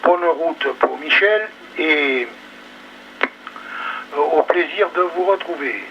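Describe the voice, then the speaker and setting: A man's voice: fairly high pitch, slow pace. A polite, slightly hesitant man, talking casually as a radio amateur over two-way radio. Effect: two-way radio transmission. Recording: muffled, noisy ham radio relay.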